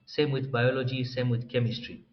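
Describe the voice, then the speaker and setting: A young man from Tanzania, a doctor training in psychiatry, explaining his career path as an interview guest in a relaxed way. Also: medium pitch, moderate pace. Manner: explanatory, relaxed, clears throat often. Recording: slightly dull, faint room noise.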